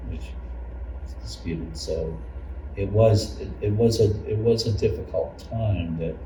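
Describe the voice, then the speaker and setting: A mature man with a very low voice reminisces as a church elder, earnest and halting. The recording is roomy and slightly dull, with clear noise behind him. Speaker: church elder recalling past events. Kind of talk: personal reminiscence. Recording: echoey room, distant muffled mic, noise.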